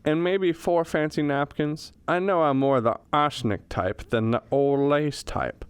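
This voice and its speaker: A man's voice: on the high side, measured pace, clear, resonant tone, no echo, close to an everyday voice. Wry, grumbling professor played by a man. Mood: sheepish, wry. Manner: wry, self-deprecating, florid grumbling. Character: grumbling, self-mocking history professor and reluctant novelist. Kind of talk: radio comedy acting.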